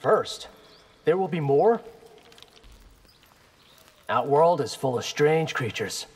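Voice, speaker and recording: An actor playing a young, wry, defiant fighter in a fighting game. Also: male voice, a little high, lively intonation, slow, darkish tone, breathy edge, heavily acted. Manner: sardonic, casual.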